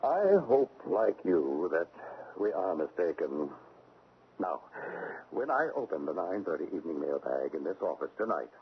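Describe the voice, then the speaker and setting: A man playing a stern, formal government agent in an Old West radio drama, speaking in measured official phrases. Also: deep voice. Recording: narrow-band, noisy old radio broadcast.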